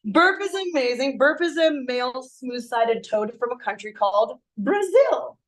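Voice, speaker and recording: A woman in her thirties with a slightly hoarse voice, showing live animals to young children in a classroom. She explains in an animated, friendly teaching way, asking the kids questions as she goes. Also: high voice, unhurried, poor audio. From Canada. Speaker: science educator. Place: elementary school classroom, indoors.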